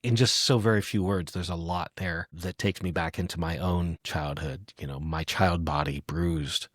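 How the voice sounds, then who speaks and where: A man's voice: low-pitched, steady tone, normal speed, fairly bright tone, no room sound, studio quality. A middle-aged man hosting a reflective poetry podcast conversation, musing in sentences that often trail off. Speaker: podcast host.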